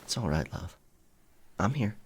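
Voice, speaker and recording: A man's voice: fairly low pitch, sing-song, measured pace, a little hiss or hum. A man playing a charming, flirtatious pirate captain in a romantic audio roleplay, teasing and tender as he speaks to his lover. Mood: fond, reminiscing.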